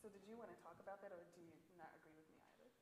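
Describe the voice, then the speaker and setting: A woman's voice: fairly low pitch, racing. A woman curator moderating a panel discussion, asking long, meandering questions with hesitations. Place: stage of a large auditorium. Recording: echoey auditorium sound.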